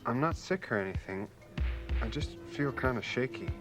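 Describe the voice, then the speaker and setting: A man's voice: medium pitch, expressive, moderate pace, music in the background, close to an everyday voice. An actor playing a forgetful, shaky man in a 1980s radio drama, hesitant and conversational. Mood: uneasy, hesitant. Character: forgetful, shaky man calmly losing hold of reality.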